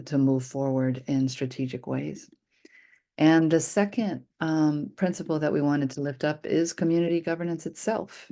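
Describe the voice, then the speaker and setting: Mellow, even-toned speaker introducing an assembly. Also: mid-range voice. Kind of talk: prepared remarks spoken informally.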